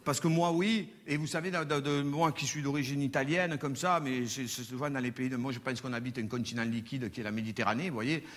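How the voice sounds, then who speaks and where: A man with a Marseille accent doing a stand-up routine on stage, telling crude, animated stories full of swearing. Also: mid-range voice, fairly quick, few pauses. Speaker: stand-up comedian. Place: stage before a live audience. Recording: live hall with long reverb.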